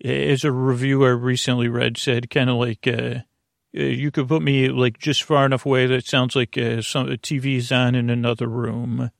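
A man with a mid-pitched voice full of creak hosts a bedtime podcast. He meanders through his monologue, stopping, restarting and drifting off the point. The sound is dry, with no room around it. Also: level tone, dry recording. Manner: meandering, halting, circles back and trails off. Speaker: podcast host. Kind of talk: rambling sleep podcast intro monologue.

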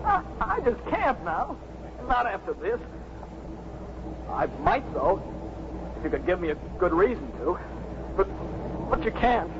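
Bitter, desperate young man, high-pitched and halting, 1950s radio drama with clear hiss. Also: very animated, exaggerated voice. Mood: anguished. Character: despairing young man threatening to jump from a ledge. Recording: muffled, noisy 1950s radio recording.

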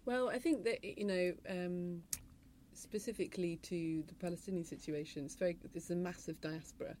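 A woman novelist on a panel who talks quickly, hedges a lot and trails off softly at the ends of phrases. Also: fairly low pitch, fairly even intonation, a little hiss or hum. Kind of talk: panel discussion.

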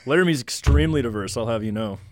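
A man's voice: mid-range voice, expressive, quick pace, a little mellow, slight background noise. A young man chatting casually as a new podcast co-host, laid-back and good-natured. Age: young adult. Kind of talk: casual chat.

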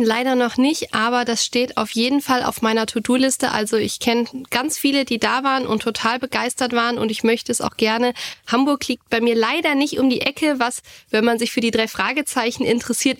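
A woman co-hosting a podcast, speaking articulately and appreciatively in casual conversation. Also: a little high. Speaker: podcast co-host.

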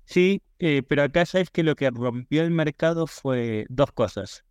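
A man with an Argentine Spanish accent answers as a podcast guest in a conversational, measured, explanatory way. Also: a little high, darkish tone, clear, resonant tone, no echo. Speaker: podcast guest, e-commerce professional.

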